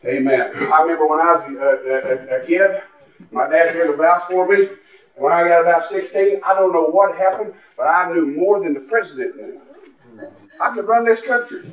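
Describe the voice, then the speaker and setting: Preacher shouting high in a roomy church. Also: male voice, moderate pace. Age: 40s. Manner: shouting exclamations, rising into high cries. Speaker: pastor. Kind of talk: Pentecostal-style sermon. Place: church sanctuary. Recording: roomy, distant, dull church recording.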